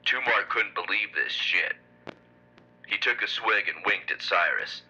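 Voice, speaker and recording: A man narrating scripted pulp fiction in a raspy, deadpan style. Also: on the low side, a little slow, exaggerated voice. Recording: muffled, radio-like sound.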